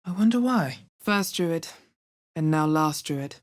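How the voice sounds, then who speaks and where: A woman playing a wary, dry female fantasy adventurer in a video game, with a bassy, husky voice and a measured, understated delivery. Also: lively intonation. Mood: wary, matter-of-fact. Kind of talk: video game character voice lines.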